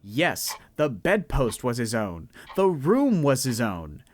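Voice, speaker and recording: A young man narrating a radio drama in a measured, storytelling style. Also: medium pitch, wildly swooping pitch, no room sound, heavily acted. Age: young adult.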